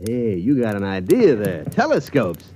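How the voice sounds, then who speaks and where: A man's voice: lowish voice, wildly swooping pitch, moderate pace, mellow. An actor playing a chummy male streetwise hustler with ungrammatical tough-guy slang in a 1950s radio drama. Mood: eager, sly. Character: chummy, streetwise hustler showing a newcomer around. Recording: faint noise and clicks, old radio.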